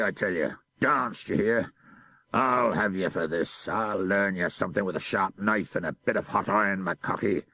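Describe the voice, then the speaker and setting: A man playing a brutal old sea captain in a storybook reading, barking orders and snarling threats in a gravelly, husky voice that swings widely up and down. A menacing, cruel temper runs through every line. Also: deep voice, pushed and bright, no echo, heavily acted.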